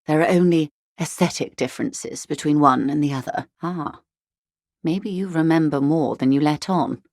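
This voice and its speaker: An actress playing a refined, worldly female negotiator in a video game, with polished, measured, wryly courteous delivery and quiet menace. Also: low voice, dry recording, clean studio sound. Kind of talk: video game character dialogue.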